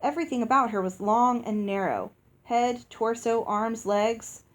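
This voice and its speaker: A woman reading a storybook aloud as narrator, in an expressive scripted style. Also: a little high, measured pace. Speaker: audiobook reader.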